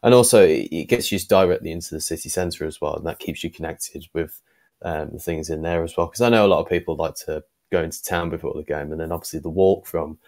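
A man with a very deep voice co-hosts a relaxed fan podcast, talking loosely and filling pauses with ums. He is heard through a video-call mic. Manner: relaxed, thinking aloud with many ums. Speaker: podcast co-host. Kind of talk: casual fan podcast chat. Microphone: video call with earphones.

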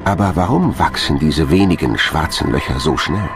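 A man's voice: rumbling low, expressive, normal speed. A man reading scripted narration for a science documentary as a studio voice-over. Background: background music.

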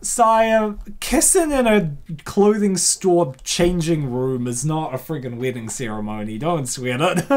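A woman hosting casual comedic commentary in an excitable, theatrical, playful way. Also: low voice, measured pace, hardly any pauses. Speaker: host reacting to a web comic.

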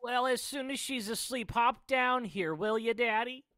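A woman playing a halting male neighbor friend in a 1940s radio comedy. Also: on the high side, a bit bright, dry recording, clean studio sound. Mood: hesitant, wry.

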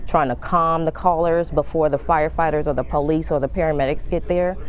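Low-voiced woman, emergency dispatcher. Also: steady tone, fairly quick. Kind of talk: interview answers. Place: indoor dispatch office.

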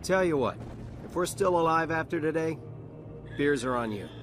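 A man's voice: fairly high pitch, expressive, moderate pace, lightly acted. A man playing a brash, determined human hero in a video game, with casual, wry, slangy delivery. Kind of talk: naturalistic video game acting. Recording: loud music behind.

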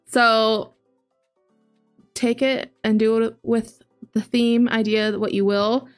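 Casting: female game streamer, late twenties, higher-pitched voice, informal and chatty, close mic, faint music behind. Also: little pitch movement, takes it slowly. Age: late 20s. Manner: chatty, talking to viewers and reading chat aloud. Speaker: video game streamer, host. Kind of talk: casual livestream chat. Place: home living area, indoors. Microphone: large desk microphone up close, headset on.

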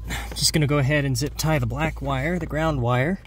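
A man's voice: a little high, steady tone, fairly quick. A man hosting a do-it-yourself video, explaining an install step by step in a plainspoken, easygoing way. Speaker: host of a DIY vehicle channel. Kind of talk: how-to narration of an install. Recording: noisy, vehicle ambience behind.

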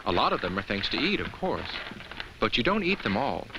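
An actor playing a kindly, earnest talking jack-o'-lantern who narrates a children's safety film with simple, reassuring explanations. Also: male voice, fairly low pitch, very animated, fairly quick, background noise. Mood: friendly.

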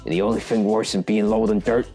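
A man's voice: fairly low pitch, little pitch movement, quick pace, creaky, warm tone, faint music behind. A man voicing a bitter, cynical young punk villain in a dramatic cartoon monologue. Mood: bitter, resentful. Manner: brooding, cynical.

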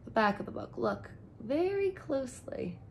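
A woman's voice: sits high, sing-song, normal speed, a little hiss or hum. A woman in her thirties, a teacher reading a picture book aloud and explaining it expressively in simple phrases for young children. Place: small furnished room indoors.

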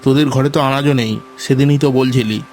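A man's voice: mid-range voice, fairly even intonation, a little mellow, slight background noise, natural voice. A man voicing a stern, bossy village grain merchant in a cartoon. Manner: commanding, brisk orders.

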